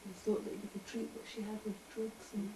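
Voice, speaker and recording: A woman's voice: medium pitch, flat delivery, a little fast, roomy sound, over a noisy crowd, rough recording. A woman interviewing an older relative, prompting and coaxing her with questions in a casual family chat.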